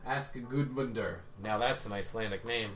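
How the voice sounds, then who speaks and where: A man's voice: fairly low pitch, moderate pace, fairly bright tone, a little hiss or hum. A young man co-hosting a comedic podcast, goofy and playfully insistent. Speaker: podcast co-host.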